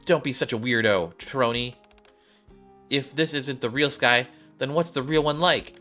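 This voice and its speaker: A mocking, sneering child from a video game story, acted by a man. The pitch is pushed up and the tone is bright and dismissive, over music. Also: unhurried. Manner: taunting, dismissive.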